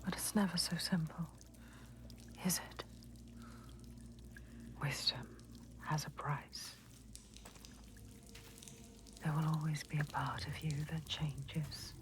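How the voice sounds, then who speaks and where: A woman voicing a solemn, cryptic spirit who narrates a dark video game in a breathy, measured whisper over a noisy ambient bed. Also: sits low, fairly even intonation. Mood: solemn, foreboding. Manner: slow, measured, portentous.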